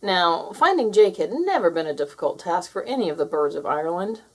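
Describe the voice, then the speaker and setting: A woman reading a children's story aloud as an expressive storyteller, in scripted narration. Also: fairly low pitch, fairly quick, husky, rough recording.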